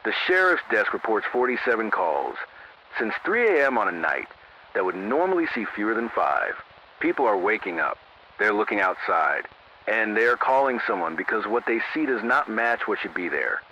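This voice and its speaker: A man playing a sober local AM radio announcer in an analog horror emergency broadcast, speaking in a grave, measured, formal way through a radio filter. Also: fairly low pitch, big pitch swings. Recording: narrow-band AM radio with static noise.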